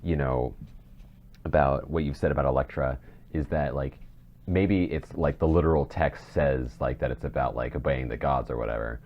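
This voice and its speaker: A man's voice: a bass voice, moderate pace, muffled recording. A man co-hosting a podcast, thinking aloud tentatively in casual discussion. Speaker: podcast co-host.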